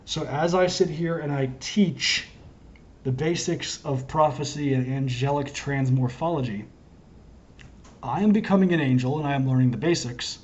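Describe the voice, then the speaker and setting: Hoarse guy rambling to the camera, earnest, keeps cutting himself off, muffled lo-fi audio. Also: mid-range voice, normal speed, darkish tone. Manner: wandering, self-interrupting, earnest. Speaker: self-styled prophet vlogger. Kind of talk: rambling solo monologue to camera.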